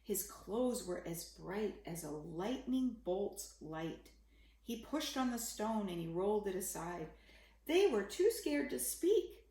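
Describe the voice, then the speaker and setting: A woman's voice: mid-range voice, sing-song, slow, mellow, roomy sound. A woman reading a rhyming children's Bible story aloud in a simple, dramatic way, as if to a young child.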